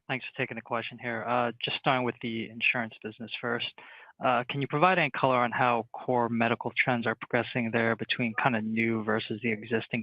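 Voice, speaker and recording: A man's voice: mid-range voice, no room sound. A man speaking as a research analyst, asking questions on an earnings call. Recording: dry, dull conference call audio.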